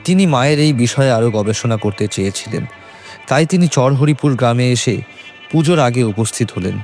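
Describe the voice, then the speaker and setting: A man narrating a scripted horror story in suspenseful, measured phrases. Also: mid-range voice, lively intonation, a little mellow. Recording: faint music behind.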